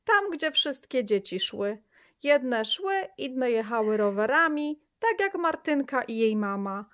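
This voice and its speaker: A woman reading a children's story aloud, lively, with the children's lines in brighter, higher voices. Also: unhurried.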